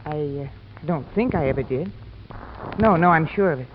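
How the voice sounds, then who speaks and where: An anxious, secretive widow confides in a hesitant, urgent way, in old radio drama style. The recording is muffled and clearly noisy. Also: female voice, low-pitched, lively intonation, normal speed. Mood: nervous, confessing. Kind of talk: 1940s-50s radio drama acting.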